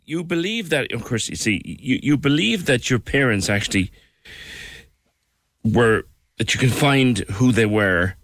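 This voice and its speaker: A man's voice: medium pitch, lively intonation, a little slow, a bit bright, dry recording. A man hosting a radio interview, asking short questions and often answering with brief acknowledgements. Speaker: radio presenter.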